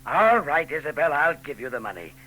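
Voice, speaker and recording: An actor playing a grudging older rich uncle in a 1940s radio drama, curt and commanding. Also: male voice, fairly high pitch, very animated, fairly quick, sharp tone, heavily acted. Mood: grudging, irritable. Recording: humming, noisy old radio broadcast tape.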